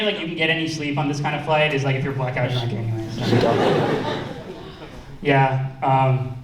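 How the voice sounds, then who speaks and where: Young male comic with an Indian accent, rambling filler-heavy delivery, echoey hall, distant mic. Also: medium pitch. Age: young adult. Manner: loose and rambling, full of "like" and "um". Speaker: student stand-up comic. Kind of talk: stand-up comedy set.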